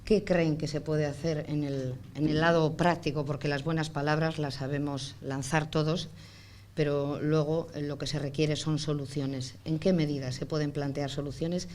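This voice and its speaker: A woman moderating a round table, speaking in measured, wordy phrases that build piece by piece. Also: deep voice, flat delivery, a little mellow. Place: indoor round-table conference room. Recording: faint background noise.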